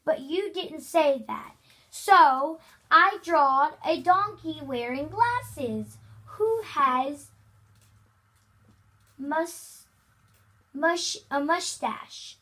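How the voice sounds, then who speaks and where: A child reader playing a cheeky, defiant schoolboy from a storybook, arguing back insistently and haltingly. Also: high-pitched, animated, bright, forceful tone, pitched above the performer's own voice, heavily acted. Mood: cheeky, argumentative.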